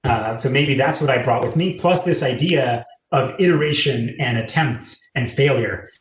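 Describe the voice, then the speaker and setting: A man's voice: medium pitch, normal speed. American man with fillers, echoey room. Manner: earnest, fluent, frequent "you know" fillers. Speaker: interviewee, tech company public sector lead. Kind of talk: conversational panel interview.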